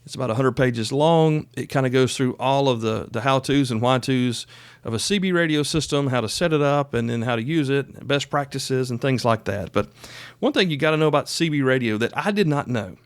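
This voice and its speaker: A man in his fifties talks as a solo podcast host in a folksy, conversational manner. His pitch sits in the middle range for a man, and he leans on key points and wanders into asides. The sound is close and dry. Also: a little fast, clear, resonant tone, no room sound. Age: 50s. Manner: folksy, chatty, emphatic, given to asides. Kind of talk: casual solo podcast talk.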